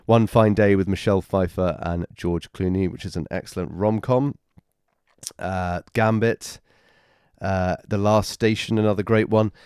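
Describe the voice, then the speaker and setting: A man in his thirties, hoarse with a cold, his voice raspy and very deep. He introduces his podcast in a chatty, upbeat way. The sound is dry and close. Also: a little mellow, dry recording. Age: 30s. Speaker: podcast host.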